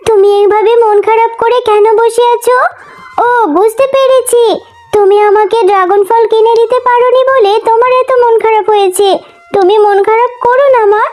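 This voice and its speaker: A woman voicing a sweet, earnest little fox child, childlike and coaxing, in a children's cartoon story. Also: extremely high pitch. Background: music.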